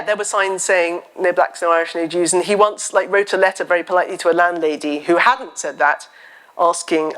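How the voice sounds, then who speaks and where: A woman novelist with a loud voice, talking at a live festival event on stage into a microphone, chatty and funny as she tells stories. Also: lowish voice. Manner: chatty, animated storytelling with self-deprecating humour. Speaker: novelist guest. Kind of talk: live on-stage literary chat. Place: festival stage before an audience.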